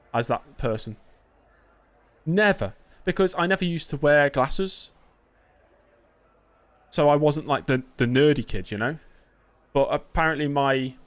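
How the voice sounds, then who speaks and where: Chatty young British man streaming a game. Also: a little high. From Britain. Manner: chatty, self-mocking, answers chat aloud. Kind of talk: casual live-stream commentary.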